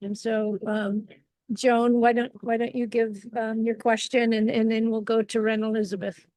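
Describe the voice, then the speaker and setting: A woman hosting an online panel, talking in halting starts with many restarts and repeated words. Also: on the high side, level tone, a little mellow, no echo, poor audio. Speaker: event host and moderator. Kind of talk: casual panel moderation.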